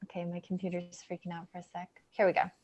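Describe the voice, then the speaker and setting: A woman's voice: lowish voice, completely flat delivery, fast, darkish tone. A woman hosting an online event and introducing presenters in an upbeat, friendly way over a video call. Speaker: event host. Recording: dull lo-fi audio.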